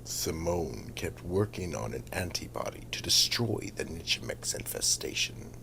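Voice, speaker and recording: Very deep, gravelly, slow male narrator. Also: sing-song, background noise. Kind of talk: horror radio play narration.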